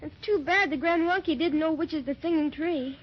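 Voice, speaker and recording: A gentle, earnest little girl speaks in a very high, childlike voice. The acting is 1930s radio fantasy, on a dull, muffled old recording. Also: brisk, a little hiss or hum. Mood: sleepy, concerned.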